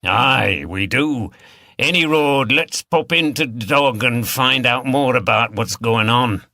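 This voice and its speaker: A gruff old hill farmer from a storybook, as a male narrator puts him on. His Yorkshire speech is broad, plain and grumbling. The tone is bright and forceful, with a very dry, close sound. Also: medium pitch, takes it slowly, dry recording. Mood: matter-of-fact. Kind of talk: audiobook character dialogue.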